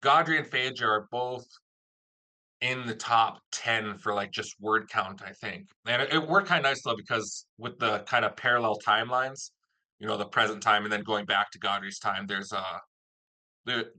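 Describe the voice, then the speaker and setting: A man's voice: fairly low pitch, normal speed, bright, forceful tone. A man hosting a casual interview, chatting as the producer of an audio drama.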